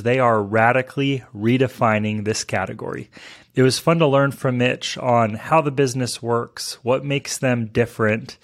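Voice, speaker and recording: A man hosting an interview podcast, talking to listeners and putting questions to a guest. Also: medium pitch. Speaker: podcast host.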